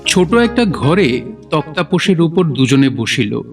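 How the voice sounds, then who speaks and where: A man's voice: fairly high pitch, very animated, a little slow, breathy edge, far from the mic, soft background music. A man narrating an audio drama in a formal, literary storytelling style.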